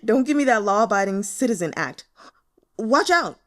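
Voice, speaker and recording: An irate male boss in an audiobook, acted out by a woman, scolding and sarcastic. He is exasperated and sharp-tongued, with lively swings in his delivery. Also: a little high, normal speed. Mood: wry, urgent. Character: furious, foul-mouthed, exasperated male director boss.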